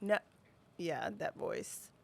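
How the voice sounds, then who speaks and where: A woman's voice: low-pitched, lively intonation, slow, vocal fry, darkish tone. A woman co-hosting a podcast in casual, unscripted chat, talking in an informal, slangy way. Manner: informal, slangy, says "y'all" and "like". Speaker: podcast co-host.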